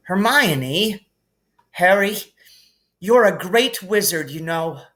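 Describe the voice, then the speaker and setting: A man voicing a bright, earnest schoolgirl witch in a storybook reading, with a brisk, emphatic manner. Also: high voice, animated, voice pushed up, full character voice. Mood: fond, earnest.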